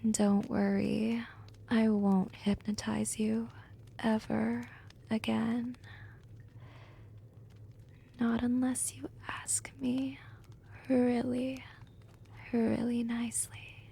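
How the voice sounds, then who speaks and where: A woman acts as a seductive vampire hypnotist in an ASMR roleplay. Her voice is fairly high yet mellow and soft, with frequent whispers. She speaks very unhurriedly, teasing and coaxing with gentle, smug control. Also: little pitch movement, takes it slowly, a little hiss or hum, heavily acted. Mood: tender, teasing. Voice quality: soft, breathy, often dropping into whispers. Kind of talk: ASMR roleplay, intimate monologue.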